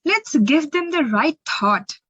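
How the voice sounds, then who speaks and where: A woman with an Indian accent reading a children's story aloud, expressively and deliberately, with dramatic pauses. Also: high voice, animated, a bit bright, dull audio. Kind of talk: children's picture book read-aloud.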